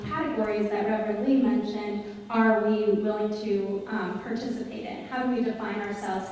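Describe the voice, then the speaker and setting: A woman's voice: mid-range voice, dark tone, recorded from a distance, a little hiss or hum. Woman speaking in an echoey sanctuary hall. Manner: earnest, thinking aloud with many ums. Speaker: moderator of a congregation Q&A. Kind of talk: unscripted, explaining to an audience. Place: large synagogue sanctuary, indoors. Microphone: handheld mic, filmed by a far camera.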